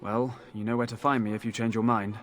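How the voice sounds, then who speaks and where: An actor playing a sober town guard officer in a video game, businesslike and matter-of-fact. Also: male voice, lowish voice, flat delivery, brisk, fairly bright tone, natural voice.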